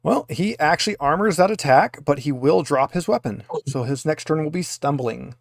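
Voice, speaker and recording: Casual male game master, slightly high voice. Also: expressive, moderate pace, creaky, no room sound, studio quality. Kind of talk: running a tabletop roleplaying game, casual.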